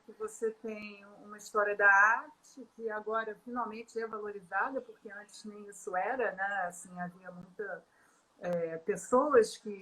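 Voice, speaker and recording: Bright-voiced woman, hesitant speech. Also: mid-range voice, rough recording. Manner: hesitant, many fillers and restarts. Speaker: artist being interviewed. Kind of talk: conversational interview.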